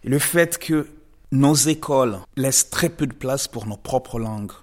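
A man from the DR Congo, a guest artist giving measured, reflective interview answers with frequent pauses and emphatic rises. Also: mid-range voice, a little mellow. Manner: measured and thoughtful, pausing often, rising to emphatic stresses.

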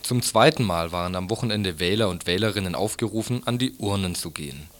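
Low-voiced man presenting radio news. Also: quick pace, fairly bright tone. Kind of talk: scripted radio news narration.

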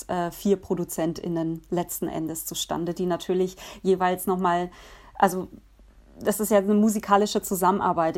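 A woman musician answering interview questions in a relaxed, thoughtful way, pausing often with fillers and restarting her sentences. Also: lowish voice. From Germany. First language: Polish.

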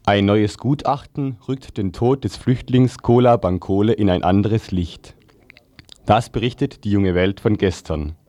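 A man's voice: on the low side, dark tone, dry recording. A man reading scripted radio news in standard speech.

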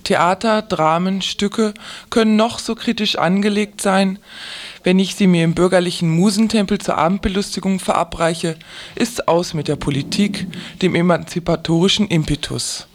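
A man's voice: sits high, moderate pace. A man presenting on radio, reading out a scripted press review commentary.

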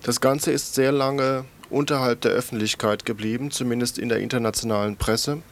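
A man's voice: medium pitch, fairly even intonation, brisk. A man presenting a scripted radio news report in a matter-of-fact way, with small stumbles in his reading.

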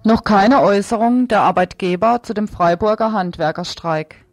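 Woman, mid-pitched voice, news presenter, scripted report and commentary. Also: normal speed.

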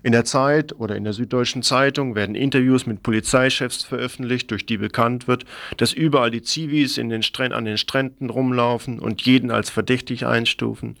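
A man's voice: mid-range voice, steady tone, fairly quick, gravelly, a bit bright, dry recording. A man presenting a scripted radio news report in a formal manner, now and then stumbling over the text.

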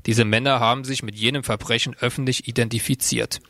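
A man's voice: medium pitch, steady tone, a little fast, bright, forceful tone, no echo. A man presenting a radio news bulletin, reading from a script in a studio.

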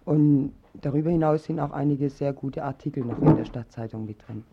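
A man explaining things informally on the radio, halting, with many hesitations and self-corrections. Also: fairly high pitch, heavy background noise. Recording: muffled dull 1980s radio recording.

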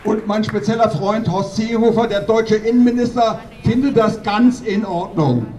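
A man delivering a political speech at a protest rally in an emphatic, accusatory, declamatory way with deliberate phrasing. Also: high-pitched. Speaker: activist doctor speaking at a protest rally. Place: outdoor rally. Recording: distant outdoor mic with clear noise.

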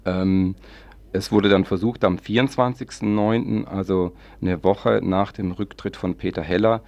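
A man hosting a radio magazine, presenting local news in a halting, semi-improvised way with long winding sentences, close to a studio microphone. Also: low-pitched, fairly even intonation, gravelly, a little mellow, no room sound, highs cut off.

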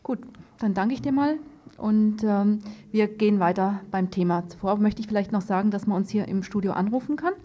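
A woman's voice: mid-range voice, a little fast, warm tone. A woman hosting an informal radio interview, phrasing her questions tentatively as if thinking aloud. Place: radio studio. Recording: dull lo-fi radio tape, noisy.

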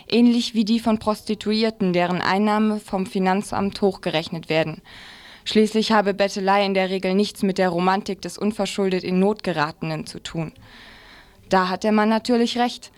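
A woman's voice: mid-range voice, darkish tone. A woman reading a scripted news commentary on the radio with a satirical slant.

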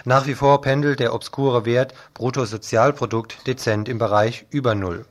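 Man, news presenter, scripted commentary, mid-pitched voice, critical and pointed delivery. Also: steady tone, moderate pace.

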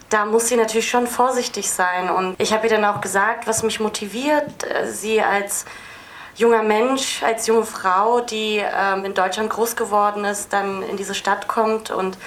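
A woman film director giving spontaneous, explanatory answers at an audience Q&A, hesitating as she goes. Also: medium pitch. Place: film festival screening venue. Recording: noisy live event recording.

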